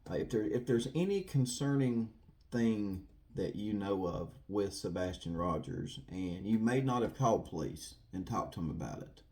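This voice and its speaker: A man's voice: lowish voice, dark tone. A man giving casual, rambling commentary to viewers in an earnest, folksy way, repeating himself for emphasis. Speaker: true crime video host.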